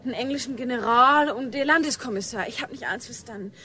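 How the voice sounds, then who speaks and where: An actress playing a young village woman who brings messages briskly and plainly in a radio drama, over faint ambience. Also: a little high, pushed and bright, slight background noise. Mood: urgent, brisk.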